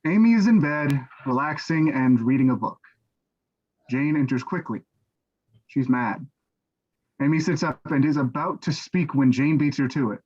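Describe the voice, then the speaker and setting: Male stage-direction reader, mid-pitched, scripted narration, muffled lo-fi recording. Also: lively intonation, moderate pace, pauses often, a little mellow, slightly rough. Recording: dull, lo-fi microphone.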